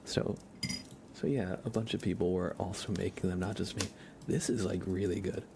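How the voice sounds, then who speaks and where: A man hosting an ASMR livestream, chatting casually and haltingly in a soft, hushed voice that drifts into whispering. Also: low-pitched, gravelly. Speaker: livestream host. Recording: steady background noise with keyboard typing.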